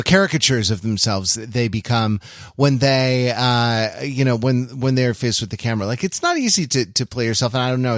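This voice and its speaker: A man's voice: mid-range voice, no echo, clean studio sound. A man co-hosting a podcast, talking casually about film in a hesitant, meandering way that keeps interrupting itself. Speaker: podcast co-host.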